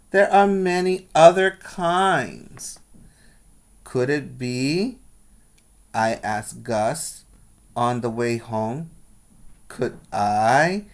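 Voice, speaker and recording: A man reading aloud to young children as their teacher, pausing to explain and put questions to his listeners. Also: mid-range voice. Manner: instructional, asking the listeners questions.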